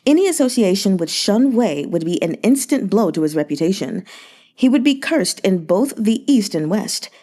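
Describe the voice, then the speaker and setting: Woman, audiobook reader, measured, warm-toned, expressive pitch. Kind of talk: read-along novel narration.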